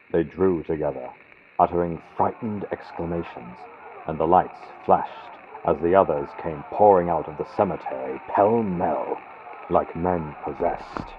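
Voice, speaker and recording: Deep-voiced male gothic horror narrator. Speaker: audiobook narrator. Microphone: built-in computer microphone. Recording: lo-fi built-in computer mic, hissy vintage-radio sound.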